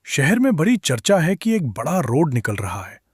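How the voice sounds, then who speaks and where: A man voicing a sour, blaming village man in a cartoon story, sarcastic and nagging in a husky voice. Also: a little high, wildly swooping pitch, moderate pace, no room sound, clean studio sound, full character voice. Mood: foreboding, nagging. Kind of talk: animated moral story dialogue.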